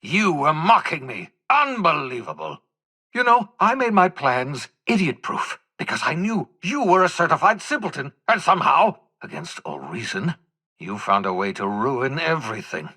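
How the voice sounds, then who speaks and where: An older man acting as an embittered, desperate renegade scientist in a video game, with a raspy voice and a theatrical, sarcastic, erudite manner. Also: fairly high pitch, very animated, moderate pace, sharp tone, full character voice. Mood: bitter, mocking.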